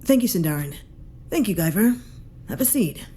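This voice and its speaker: A woman's voice: mid-range voice, very animated, normal speed, background noise, natural voice. A woman playing a polite, dry, methodical detective chief inspector in a science fiction audio drama. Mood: formal.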